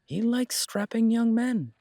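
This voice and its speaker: High pitched fussy elfy shopkeeper for audio rp, swoopy, prim, stammery, cheerful. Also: male voice, lively intonation, measured pace, vocal fry, warm tone, dry recording, clean studio sound, exaggerated voice. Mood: playful, genteel. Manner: prim, polite, fluttery and stammering. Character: fussy, chirpy pointy-eared male shopkeeper, cheerful optimist. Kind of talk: audio roleplay acting.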